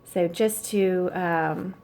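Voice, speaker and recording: A woman reading a children's novel aloud as a teacher, in a measured, explanatory way with brief asides to her listeners. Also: lowish voice, fairly even intonation, gravelly, darkish tone, slight background noise.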